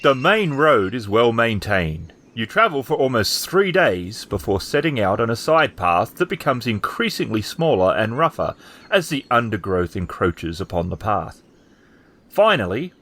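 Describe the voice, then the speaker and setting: A man's voice: mid-range voice, big pitch swings, moderate pace, a bit bright. A man narrating a tabletop roleplaying game as game master, in a descriptive storytelling style.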